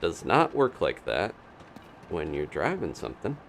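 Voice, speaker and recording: A man's voice: deep voice, huge pitch swings, measured pace, slight background noise. A man giving casual live gameplay commentary, chatting and thinking aloud in unfinished asides. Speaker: video game streamer.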